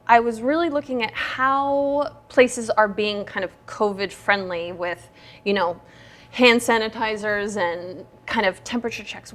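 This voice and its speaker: An enthusiastic woman with a fairly high voice presents a lifestyle talk show. She is chatty and upbeat as she interviews her guest. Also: fairly bright tone. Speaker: TV show host.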